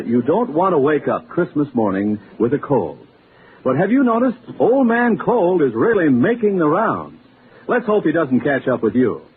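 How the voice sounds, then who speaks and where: Man, neighbourly radio pitchman, animated, vintage broadcast, phone-like with faint noise. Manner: chatty, neighbourly address. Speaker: commercial announcer. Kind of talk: 1950s folksy radio advertising. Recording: thin, noisy old radio recording.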